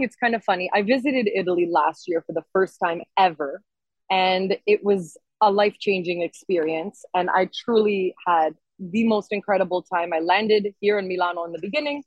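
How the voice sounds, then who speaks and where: A woman's voice: mid-range voice, no room sound, lo-fi sound. A woman singer-songwriter chatting casually and enthusiastically as an interview guest. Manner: enthusiastic, expressive.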